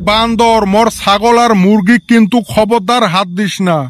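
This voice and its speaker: A man's voice: high voice, steady tone, moderate pace, background noise, close to an everyday voice. A man voicing a stingy, grumpy village father in a comedy cartoon, blunt and grumbling with a northern Bengali Rangpuri accent. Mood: irritated. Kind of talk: comic cartoon dialogue.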